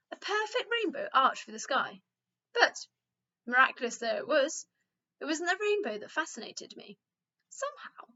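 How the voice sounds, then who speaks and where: High, animated woman reading a storybook. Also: highs cut off. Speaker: teacher reading aloud. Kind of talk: expressive children's storybook reading.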